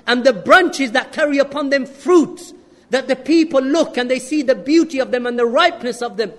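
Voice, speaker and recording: A man preaching an earnest sermon with rhetorical questions and quick Arabic recitation. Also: very high voice, a bit bright, long reverb tail. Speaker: Islamic preacher.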